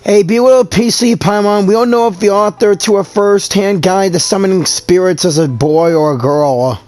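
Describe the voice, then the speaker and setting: A gamer with a medium-pitched voice chatting along to a video game. The delivery is casual, wry and jokingly reluctant, with faint game music in the background. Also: normal speed, darkish tone.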